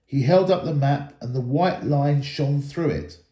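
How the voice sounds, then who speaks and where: A man reading a children's story aloud in an expressive storytelling style. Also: medium pitch, a little slow, roomy sound. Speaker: storybook reader, school staff member.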